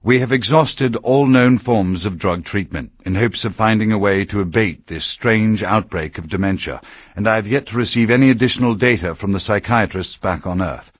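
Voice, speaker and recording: A low, husky actor playing a middle-aged male doctor dictates a game audio log. He is measured, formal and grave, recorded dry and close. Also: no room sound, lightly acted. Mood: grave, clinical. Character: grave, clinical male medical supervisor dictating reports. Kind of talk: video game voice acting, formal dictated report.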